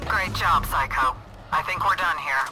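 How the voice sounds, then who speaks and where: Man doing a stern game mission commander, slightly high voice, brisk and official, music behind. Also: close to an everyday voice, poor audio. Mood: brisk, serious. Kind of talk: video game briefing over radio. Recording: loud background music.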